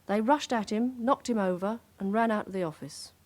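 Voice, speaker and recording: A woman's voice: mid-range voice, moderate pace, darkish tone, lightly acted. An actress playing a composed British policewoman in a radio drama, reporting matter-of-factly in clipped phrases. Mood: factual, composed. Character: composed, dutiful policewoman reporting to her sergeant.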